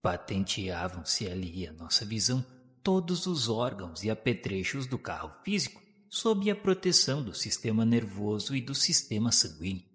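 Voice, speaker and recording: A man's voice: on the low side, big pitch swings, normal speed, sharp tone. A man with a Brazilian Portuguese accent giving formal, solemn, scripted literary narration for a radio drama. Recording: long reverb on the voice.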